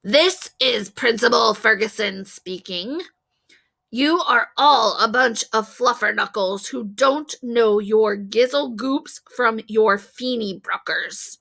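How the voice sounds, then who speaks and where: Woman as an officious, bright-toned male principal. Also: a little high, slow pace, creaky, exaggerated voice. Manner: officious, announcing. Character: boy imitating a stern male school principal.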